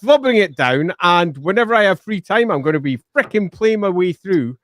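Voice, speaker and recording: A man's voice: high-pitched, expressive, fairly quick, fairly bright tone, dry recording, studio quality. A middle-aged man co-hosting a casual live stream, playful and theatrical, chatting and laughing easily. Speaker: co-host.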